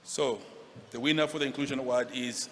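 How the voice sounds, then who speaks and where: A man's voice: mid-range voice, fairly even intonation, brisk. A man giving a formal, scripted speech to present an award. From Zambia. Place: large event hall, on stage. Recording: echoey hall, noticeable background noise.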